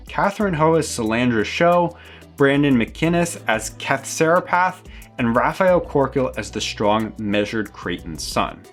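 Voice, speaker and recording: A man reading a scripted review as host, with an enthusiastic, emphatic presenter's delivery. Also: mid-range voice. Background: background music.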